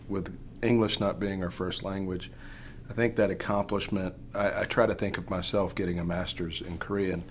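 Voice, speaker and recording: A man giving a heartfelt family tribute, sincere and warm-hearted. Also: low voice, a little hiss or hum. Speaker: lawyer.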